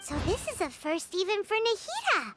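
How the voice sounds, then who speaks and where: A woman voicing a small, chatty, excitable female companion creature in a video game, shrill and bouncy. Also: normal speed, exaggerated voice. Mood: chatty, curious. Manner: chatty and eager. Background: game music.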